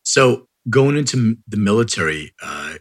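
A man's voice: lowish voice, big pitch swings, moderate pace, dry recording, clean studio sound. A man hosting an interview, asking rambling, jokey questions in a casual chat. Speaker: interviewer, host.

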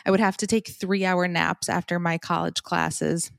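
A young woman, originally from Michigan, chats as a podcast guest. She tells her stories eagerly, full of "like"s, in a fairly low voice. The recording is close and dry, with no room sound. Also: little pitch movement, normal speed, creaky, no room sound. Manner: chatty, enthusiastic storytelling with many "like"s. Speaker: podcast guest, cookbook author. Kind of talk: casual interview chat.

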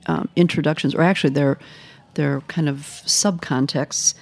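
A woman's voice: low-pitched, fairly even intonation, normal speed, gravelly. A woman hosting a podcast, interviewing her guest in a casual, conversational style.

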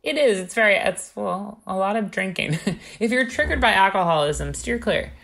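A woman's voice: mid-range voice, animated, normal speed, vocal fry, fairly bright tone. A woman co-hosting a casual podcast, reading from her notes with wry, jokey asides. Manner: wry, jokey, playfully conspiratorial. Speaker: podcast co-host.

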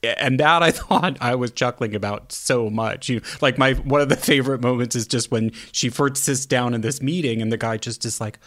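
A man's voice: medium pitch, normal speed, a bit bright. Chuckling American male podcast host. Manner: friendly, rambling questions with chuckles. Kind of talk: interview questions, casual chat.

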